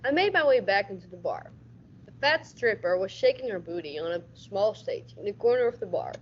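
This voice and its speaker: A woman's voice: medium pitch, lively intonation, a little fast, lightly acted. Muffled, hardboiled detective played by a young woman. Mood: dry, hardboiled narration. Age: teens. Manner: stumbles and repeats words while reading. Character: hard-nosed, wisecracking male police detective. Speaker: school radio drama actor. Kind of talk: hardboiled detective radio-play narration. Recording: dull audio with faint noise.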